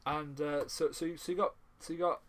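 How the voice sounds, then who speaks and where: Halting man hosting a casual podcast. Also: on the high side, steady tone, fairly bright tone. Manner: halting, repeats phrases. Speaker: podcast host. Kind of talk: casual chat.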